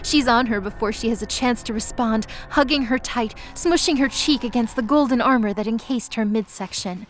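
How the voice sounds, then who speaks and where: High-voiced woman narrating a dramatic story. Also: normal speed, hardly any pauses, a little hiss or hum. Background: music bed.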